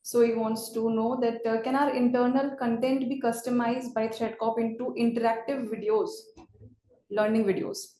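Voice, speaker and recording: A woman moderating a live webinar, casually relaying audience questions in a halting, filler-heavy way. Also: a little high, flat delivery, warm tone. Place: indoor room, webinar call. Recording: echoey room with faint background noise.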